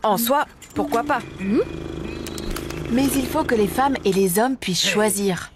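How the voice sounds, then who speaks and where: A woman's voice: on the high side, measured pace, background noise, natural voice. A woman playing a friendly cartoon presenter who explains things to children in a didactic, encouraging, scripted way. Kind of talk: scripted educational cartoon narration.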